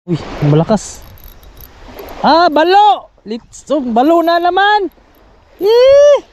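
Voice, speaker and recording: A Filipino man on a sunny beach who fishes and talks to his viewers, excitable and repeating himself, recorded on a head-mounted action camera. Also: extremely high pitch, slow. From Philippines. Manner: excitable, repeats phrases, calls viewers "idol". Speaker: fishing vlogger. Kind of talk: casual commentary to viewers while fishing. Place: sunny sandy beach by the sea, outdoors. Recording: outdoor camera mic with wind and sea noise.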